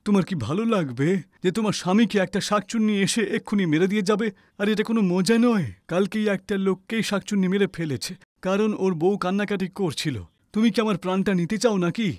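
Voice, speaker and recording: An actor playing an anxious, henpecked husband who pleads in a flustered way in a cartoon story. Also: male voice, sits high, fast, darkish tone, dry recording, studio quality, close to an everyday voice. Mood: anxious pleading. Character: nervous henpecked village husband, anxious to placate. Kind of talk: animated story dubbing.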